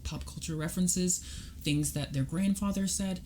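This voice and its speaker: A woman's voice: lowish voice, moderate pace, warm tone, a little hiss or hum. A woman guest on a podcast, talking in a reasoned, explanatory way, laying out her arguments step by step. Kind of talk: casual conversational interview.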